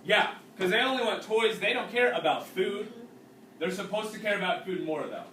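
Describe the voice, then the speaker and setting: Bright-voiced male teacher reading aloud, roomy sound. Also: high-pitched. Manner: explanatory, pausing to question young listeners. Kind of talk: read-aloud storytelling with explanations and questions. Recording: roomy, distant mic with faint noise.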